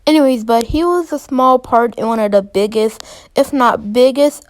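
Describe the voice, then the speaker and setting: A woman with a high voice hosts a ranking video. Her delivery is informal and opinionated, with playfully dramatic touches. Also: darkish tone. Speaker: video host.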